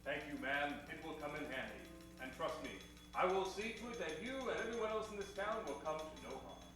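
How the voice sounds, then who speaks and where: An actor playing a pompous, earnest hat-powered superhero in a grandiose improvised old-time radio style. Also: male voice, on the high side, brisk, over music, natural voice. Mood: earnest heroic. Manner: grandiose, heroic. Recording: echoey stage, far from mic.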